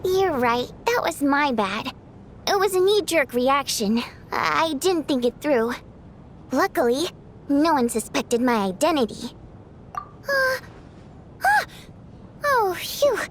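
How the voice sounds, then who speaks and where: An actress voicing an excitable, gossipy young woman who talks in a chatty way. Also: sits high, slow, pauses often, slight background noise, exaggerated voice. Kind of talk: video game voice acting.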